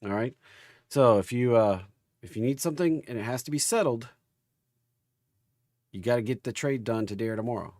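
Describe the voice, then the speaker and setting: Gravelly man, casual livestream host. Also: medium pitch, brisk, pauses often, darkish tone, no room sound, clean studio sound. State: recovering from an illness. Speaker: host of a stock market livestream. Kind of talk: casual livestream chat and market commentary.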